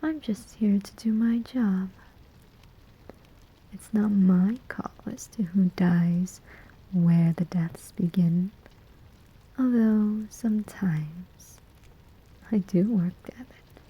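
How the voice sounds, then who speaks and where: A woman's voice: mid-range voice, mellow, rough recording. A woman playing a sly, amused demon collector of souls in a whispered, teasing ASMR roleplay. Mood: cool, matter-of-fact. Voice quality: breathy, whispering. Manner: slow, teasing, deliberate pauses.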